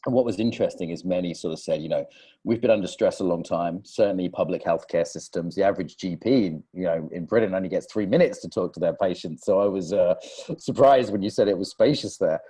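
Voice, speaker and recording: A man's voice: low-pitched, warm tone, husky. A man hosting a podcast, interviewing a guest in a casual, informal conversational way.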